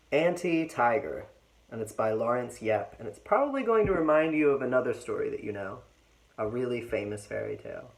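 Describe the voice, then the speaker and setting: Woman teacher, low voice, very lively intonation, expressive storybook narration with explanatory asides. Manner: expressive, clearly phrased, explanatory. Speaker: teacher reading aloud. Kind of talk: storybook read-aloud with short lesson asides.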